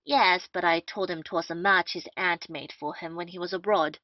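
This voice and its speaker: A woman's voice: on the low side, steady tone, moderate pace, no echo, lightly acted. Bossy chatty captain guy done by a woman, old stage comedy, lo-fi and very dry. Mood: bustling, boastful. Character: bustling, boastful male captain, scheming and talkative. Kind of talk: amateur audio play of Restoration comedy. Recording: dull, lo-fi, dry audio.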